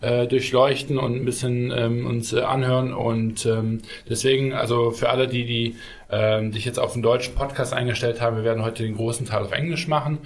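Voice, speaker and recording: A man's voice: mid-range voice, level tone, fairly quick. A man hosting a podcast interview, talking loosely with many fillers. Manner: loose, filler-heavy, frequent ähm.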